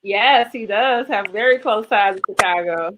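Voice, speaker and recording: A woman's voice: medium pitch, normal speed, noisy background. An American woman hosting a casual interview in an effusive, encouraging way. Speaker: interview host.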